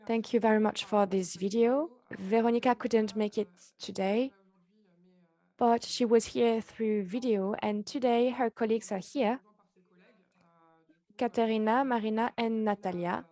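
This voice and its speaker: A woman's voice: fairly high pitch, steady tone, a little slow, mellow. A woman speaking as a presenter, giving a conference presentation.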